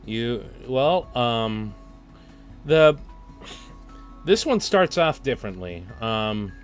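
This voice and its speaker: Hesitant, animated man hosting a livestream. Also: medium pitch, very slow. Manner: hesitant, stammering, giggly. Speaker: livestream host. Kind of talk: casual livestream chat. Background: music playlist.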